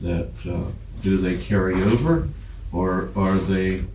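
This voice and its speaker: An American man questioning a council at a public meeting, haltingly rephrasing his point. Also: low-pitched. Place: indoor council chamber. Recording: echoey room, distant mic, clear background noise.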